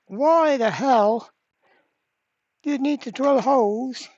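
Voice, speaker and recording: A repair channel host talks slowly through the work in a slightly dull, lo-fi recording. The delivery is casual and blunt, with an exasperated edge, as if working each problem out aloud. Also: high-pitched, a little mellow, no echo. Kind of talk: casual hands-on repair vlog narration.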